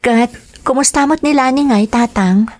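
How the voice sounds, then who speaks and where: An actress playing a dutiful, long-suffering elder sister with an Ilocano accent in an emotional radio drama. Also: female voice, sits high, normal speed, darkish tone, natural voice. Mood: calm, forgiving.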